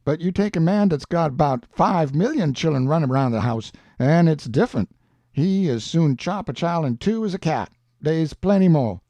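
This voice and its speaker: A man's voice: a little high, animated, normal speed, warm tone, dry recording. A man voicing a stubborn, indignant, folksy man who argues emphatically in a Southern US dialect. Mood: insistent. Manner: emphatic, argumentative, dialect speech.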